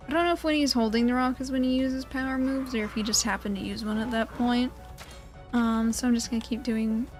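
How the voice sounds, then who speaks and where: A woman giving casual video game commentary, with dry, sarcastic asides. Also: a little high. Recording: clear game music behind. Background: video game music.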